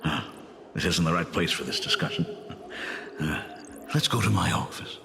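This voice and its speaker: An actor playing a gentle, nostalgic middle-aged father and school principal in a video game, speaking tenderly like a storyteller. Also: deep voice, sing-song, normal speed, gravelly, background noise, exaggerated voice. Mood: grave, hesitant. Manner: tender, wistful, chuckling storyteller. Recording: music under the dialogue.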